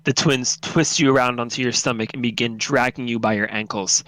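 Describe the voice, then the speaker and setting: A man's voice: mid-range voice, fairly even intonation, brisk, a bit bright, no echo, poor audio. A man narrating a second-person horror story in a dramatic, solemn, intense reading.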